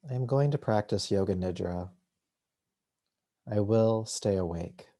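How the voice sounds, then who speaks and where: Slow, mellow man guiding meditation. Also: on the low side. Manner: soothing, unhurried, with long pauses between short phrases. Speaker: yoga nidra teacher. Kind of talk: guided meditation.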